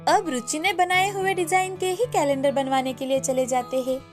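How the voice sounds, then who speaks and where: A woman narrator reading a scripted moral story for children. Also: high voice.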